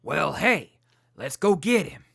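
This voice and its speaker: A man's voice: fairly high pitch, big pitch swings, slow, vocal fry, a bit bright, husky, no room sound, clean studio sound, full character voice. A man playing a laid-back wasteland crew leader in a radio drama, casual, slangy and breezily in charge. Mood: easygoing, confident. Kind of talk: post-apocalyptic radio drama acting.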